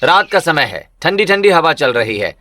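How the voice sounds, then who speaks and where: Bright, forceful male story narrator. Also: on the high side, very animated, normal speed. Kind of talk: scripted Hindi cartoon story narration.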